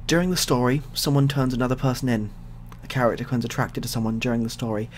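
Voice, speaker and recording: Softly spoken rambling man, noisy recording. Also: mid-range voice, fast talker. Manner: rambling, repetitive, deadpan dark humour. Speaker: ASMR storyteller. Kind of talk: softly spoken improvised storytelling, ASMR. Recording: clearly audible background noise.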